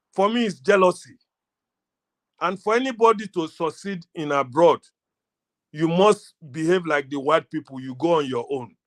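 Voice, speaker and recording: A Nigerian-accented man gives his opinion informally as a panellist, slipping into Pidgin and acting out bits of dialogue. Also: a little high.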